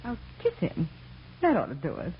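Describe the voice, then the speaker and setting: An actress playing a playful, mischievous young wife in a radio comedy. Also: female voice, on the low side, wildly swooping pitch, normal speed. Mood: curious, mischievous. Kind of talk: mid-century radio comedy acting. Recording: dull, noisy old radio recording.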